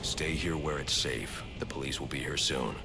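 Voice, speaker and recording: An actor playing a grim, driven young masked vigilante in a video game, terse, intense and commanding. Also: male voice, moderate pace. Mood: resolute. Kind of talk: dramatic video game acting. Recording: loud music and rumbling effects behind.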